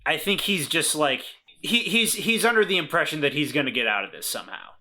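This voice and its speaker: Male game player. Bright, forward tone, a bit higher than average. Casual, hesitant, improvising as he goes. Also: expressive, brisk. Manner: hesitant, repeats words while thinking aloud. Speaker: player in a tabletop role-playing game.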